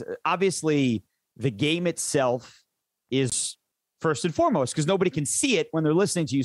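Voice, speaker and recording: A man's voice: a little high, no room sound. A man who works as a sports play-by-play announcer, chatting casually and enthusiastically in an interview, rambling into detail.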